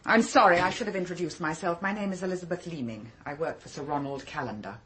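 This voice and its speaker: A composed, superior female secretary in a British radio drama. Her English voice is fairly low with a husky grain, and her phrasing is formal and clipped. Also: fast, a bit bright. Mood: haughty, businesslike. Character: cool, brisk, haughty female secretary to a powerful man.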